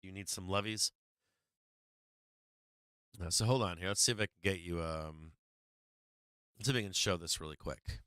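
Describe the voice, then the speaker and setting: A man's voice: low voice, fairly even intonation, normal speed, long pauses, fairly bright tone, smooth, dry recording, clean studio sound. A man hosting a stock market livestream, talking casually and profanely through charts with many fillers while he is losing his voice.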